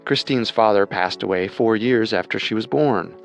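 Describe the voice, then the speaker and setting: Male co-host for scripted true crime, lower register, explanatory and measured, music bed beneath. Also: creaky. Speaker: co-host narrator. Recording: music under the voice.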